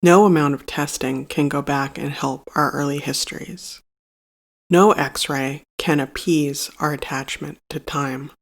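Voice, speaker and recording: A meditation guide reading a scripted narration in a measured, solemn and instructive manner. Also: mid-range voice, fairly even intonation.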